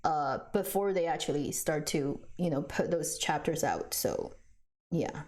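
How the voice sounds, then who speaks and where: A woman's voice: low voice, a little slow, a little mellow. A woman whose first language is Chinese, chatting casually on a live stream and answering viewers. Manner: chatty, frequent restarts, laughs often.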